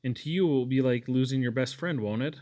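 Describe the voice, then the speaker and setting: A man's voice: mid-range voice, fast talker, a little mellow, lightly acted. A man voicing an eager, sweet, chatty young woman in a storybook, with gushing exclamations. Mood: wistful.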